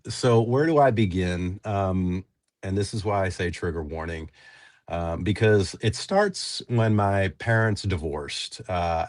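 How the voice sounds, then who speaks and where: A man guest on a talk stream, getting over a cold and sniffling, speaking earnestly with many pauses and fillers. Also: sits low, no room sound. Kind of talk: conversational interview, personal story.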